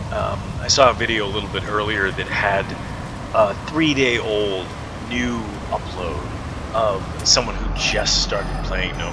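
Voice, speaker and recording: A man giving casual, rambling commentary while playing a video game, chatting away to the creatures in it. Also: on the low side. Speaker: gaming video host. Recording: loud background noise under voice.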